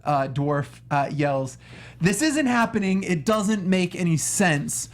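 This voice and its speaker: Dude podcast co-host, loose joking film chat, sarcastic, a bit sweary. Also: male voice, high voice, expressive, takes it slowly. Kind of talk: casual, joking film discussion.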